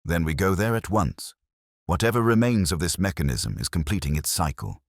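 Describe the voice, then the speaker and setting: A man voicing a brilliant, grave detective in an audio story, deliberate, incisive and coolly analytical. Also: low-pitched, lively intonation, creaky, no room sound, clean studio sound, heavily acted. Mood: resolute.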